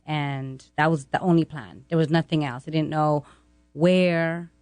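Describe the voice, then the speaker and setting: A woman's voice: deep voice, fairly even intonation, unhurried, a little mellow, no echo, soft background music. A woman in her twenties talking casually as an interview guest, candid and self-assured, in short emphatic statements. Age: 20s. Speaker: podcast guest, real estate agent.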